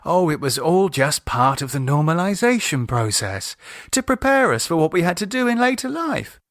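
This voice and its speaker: A man's voice: fairly high pitch, big pitch swings, normal speed, a bit bright, dry recording, clean studio sound, pitched above the performer's own voice. A man voicing a confident, breezy English woman, a teasing sister, in an audiobook. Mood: dismissive, teasing. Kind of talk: audiobook character acting.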